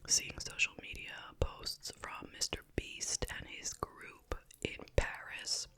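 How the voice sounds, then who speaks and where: A person whispering in a breathy, unvoiced voice, chatting casually and without hurry as an ASMR host during a meal. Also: pushed and bright. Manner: casual, unhurried rambling while eating. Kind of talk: whispered ASMR chit-chat mukbang.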